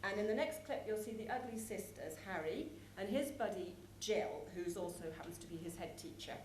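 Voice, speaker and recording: A woman's voice: mid-range voice, expressive, fairly quick. Woman presenting in an echoey, noisy hall. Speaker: conference presenter. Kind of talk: prepared plenary presentation. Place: large conference hall with an audience. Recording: echoey conference hall, clear background noise.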